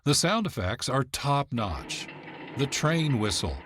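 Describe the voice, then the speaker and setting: A man's voice: medium pitch, very animated, heavy background noise. Man hosting a radio program. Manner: measured, informative, reads from a script. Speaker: producer and host. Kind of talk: scripted radio narration.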